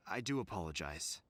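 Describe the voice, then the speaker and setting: A man's voice: low-pitched, bright, forceful tone, no room sound, full character voice. A man voicing a polite, earnest city guard in a video game, speaking in a formal, apologetic manner. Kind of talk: animated video game dialogue acting.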